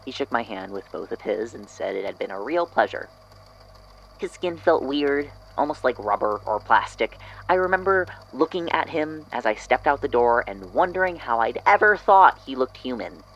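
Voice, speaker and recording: A man's voice: mid-range voice, sing-song, moderate pace, fairly bright tone, muffled recording. A man acting as an unsettled narrator in an audio drama, hesitantly and reflectively recounting an eerie memory. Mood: uneasy recollection. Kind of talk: audio drama statement, hesitant first-person storytelling.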